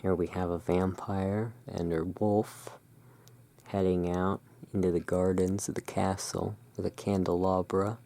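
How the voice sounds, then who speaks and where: An ASMR host in a gothic shopkeeper roleplay, describing pictures in a hushed, unhurried, musing way. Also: low-pitched, level tone, vocal fry. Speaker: host of a roleplay as a curiosity emporium owner. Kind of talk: ASMR roleplay, describing tarot card art.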